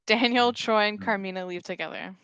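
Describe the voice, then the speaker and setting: A woman's voice: medium pitch, a little fast, gravelly, fairly bright tone, smooth, no echo. A woman narrating scripted stage directions at a comedy table read.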